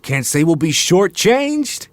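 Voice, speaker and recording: An actor playing a brash, pushy talent agent who flatters and cajoles like a salesman in a naturalistic audio drama. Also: sits high, slow pace, no echo, exaggerated voice. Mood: persuasive, upbeat. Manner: slick, cocky salesman banter.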